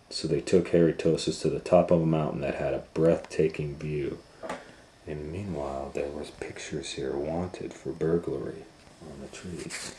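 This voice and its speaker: Man, low-pitched voice, unhurried pace, children's storybook read-aloud, animated delivery, casual asides. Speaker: storytime reader and host.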